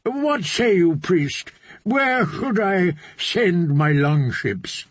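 A fat, proud island lord pressing his questions bluntly, as a man puts him on. His voice is slow, husky and raised a little in pitch, recorded close and dry. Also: animated, dry recording, heavily acted. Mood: demanding. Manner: demanding, blunt.

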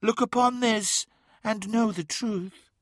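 A man's voice: high-pitched, slow, dry recording, studio quality, pitched above the performer's own voice. A man playing an ancient, boastful demon god, speaking in a grandiose, taunting and commanding way in a fantasy audiobook. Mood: tempting, scornful.

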